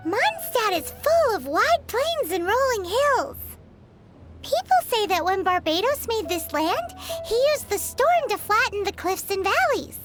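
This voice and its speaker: A tiny floating creature from a game, a cheeky and teasing companion. Her voice sits extremely high and swings widely as she chatters, stretching her words out slowly. A faint background hiss is present. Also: big pitch swings, faint music behind, heavily acted. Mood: chatty. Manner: bubbly, teasing, refers to herself by name. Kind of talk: video game voice acting.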